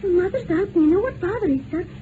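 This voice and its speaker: An actress playing a plain-spoken, excitable young working-class housemaid in an old radio drama. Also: female voice, very high-pitched, fast. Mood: chatty, cheeky. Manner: chatty, artless, excitable. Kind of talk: mid-20th-century radio drama acting. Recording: noisy, tinny old radio recording.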